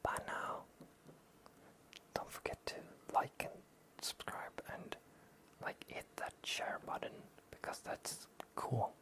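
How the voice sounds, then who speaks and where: A man hosting a soft-spoken, relaxing review show, speaking in a husky, half-whispered, rambling way. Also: slow, pauses often. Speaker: anime review host.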